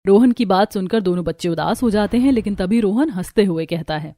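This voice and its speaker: A woman's voice: medium pitch, brisk, dark tone, no echo. A woman reading a scripted story as narrator. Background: music.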